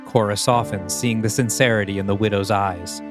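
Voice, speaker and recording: A man's voice: lowish voice, steady tone, moderate pace. Man narrating an audio drama over music. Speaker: narrator reading stage directions.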